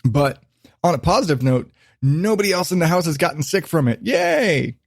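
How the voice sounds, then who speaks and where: A man's voice: a little high, animated, normal speed, dry recording. A man hosting his own podcast, chatting in a loose, rambling way and cutting himself off mid-thought. He swears easily and sounds like he is still shaking off a cold. The sound is close and dry, with no room around it. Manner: rambling, swears freely, self-interrupting. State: recovering from illness, lingering cough. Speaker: podcast host. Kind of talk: casual solo podcast chat.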